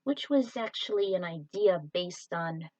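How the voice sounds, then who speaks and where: A woman's voice: fairly low pitch, animated, unhurried, a little mellow, no room sound. A woman reading an adventure story aloud as an amateur narrator, on a lo-fi home recording. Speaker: podfic narrator. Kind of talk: amateur storytelling read from a script.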